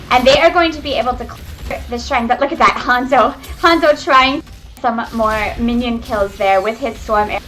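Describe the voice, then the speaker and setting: A young woman giving excitable, chatty live commentary on a video game match, talking to her viewers from home on a webcam microphone. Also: on the high side, low-quality recording. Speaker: esports caster. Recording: webcam mic with faint noise.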